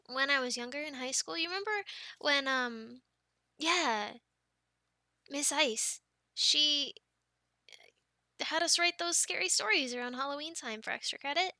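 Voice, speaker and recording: A woman's voice: high voice, sharp tone, no echo. A young woman performing an ASMR roleplay in a playful, girlish manner, close to the microphone. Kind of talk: ASMR roleplay, whispered storytelling and casual chat.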